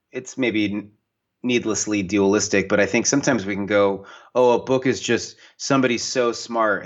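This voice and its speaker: A man's voice: fairly low pitch, highs cut off. A man from the US Northwest, a guest author and painter, talking casually in an interview and acting out what people said, with lots of fillers. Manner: animated storyteller, acts out quoted voices, many fillers.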